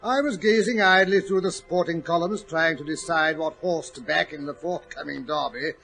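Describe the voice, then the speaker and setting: An actor playing a genial, easily puzzled older English gentleman doctor in a 1940s radio drama, chatty and a little hesitant. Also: on the high side, normal speed. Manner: hesitant, chatty, old-fashioned exclamations. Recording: muffled, rough 1940s radio recording.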